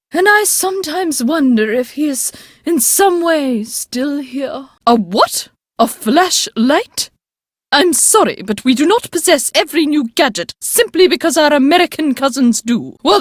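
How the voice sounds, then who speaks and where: A woman's voice: high voice, no room sound, studio quality. A woman playing an elderly, upper-class English great-aunt in a video game, proper, haughty and emphatic, stern but fond. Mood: wistful, then indignant, scolding. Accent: English, upper-class British. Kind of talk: video game character dialogue.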